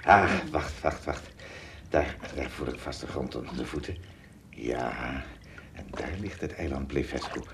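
An actor playing a good-natured, obliging giant traveller in a radio play, very raspy and often hesitant. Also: male voice, rumbling low, measured pace, noisy background. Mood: breathless, excited. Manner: hesitant, stammering. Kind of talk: Dutch radio play acting.

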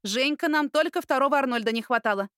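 A woman voicing a caring, cheeky older sister in an audiobook, with a playful, animated manner. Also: sits high, quick pace, clean tone, dry recording, clean studio sound, pitched above the performer's own voice. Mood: coaxing. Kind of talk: audiobook character acting.

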